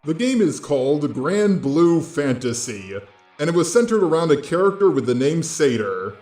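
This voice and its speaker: A man's voice: fairly high pitch, big pitch swings, moderate pace, a little mellow. A man delivering scripted online commentary in a blunt, informal way, speaking straight to his viewers. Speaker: host of online drama commentary videos. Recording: roomy echo with music underneath.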